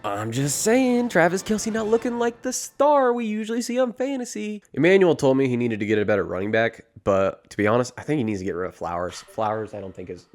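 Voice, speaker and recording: Young male vlogger, bouncy pitch, rambling and excitable, jokes at his own expense. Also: a little fast, hardly any pauses. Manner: hyped, rambling, self-mocking, excitable play-by-play. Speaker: fantasy football league vlogger. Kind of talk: casual rambling recap.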